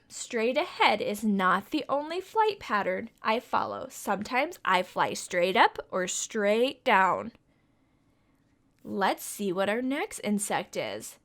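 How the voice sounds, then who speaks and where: Lively, sing-song woman reading to kids. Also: on the high side, slow. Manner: sing-song, coaxing questions to young listeners. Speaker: children's storytime reader. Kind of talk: reading a picture book aloud to children.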